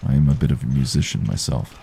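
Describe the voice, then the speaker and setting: A man with a baritone voice giving calm, unhurried commentary while he plays a game and reads chat on a live stream. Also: creaky, breathy edge. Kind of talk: relaxed live gameplay commentary.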